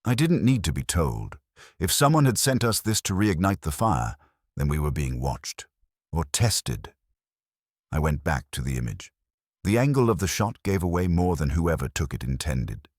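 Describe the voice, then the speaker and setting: A man reads first-person detective fiction as an audiobook narrator. His voice is exceptionally low, with lively movement in pitch across short, noir-style sentences. The recording is dry and free of room sound. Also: moderate pace, dry recording.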